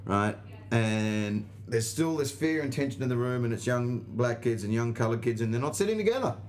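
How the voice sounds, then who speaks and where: A man's voice: mid-range voice, expressive, moderate pace, a little mellow. An Australian man, a stand-up comedian being interviewed, telling anecdotes casually in an emphatic, sweary way and acting out the dialogue. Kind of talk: animated anecdote in casual conversation.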